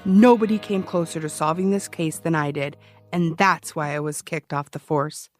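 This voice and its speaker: A woman's voice: low voice, steady tone, normal speed, lightly acted. A woman playing an obsessive, defensive ex-cop who hosts a true-crime podcast, telling it conversationally with wry emphasis. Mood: defensive, bitter. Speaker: true-crime podcast host, former police officer. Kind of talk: conversational scripted narration in an audio drama. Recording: music behind the voice. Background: music.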